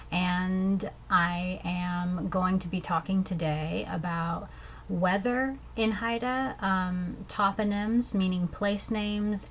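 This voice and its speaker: A young woman from the States, teaching language phrases slowly and repeating each one before translating it. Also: lowish voice, slight background noise. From southern United States. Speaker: language learner teaching vocabulary. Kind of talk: slow, careful language lesson with repeated phrases.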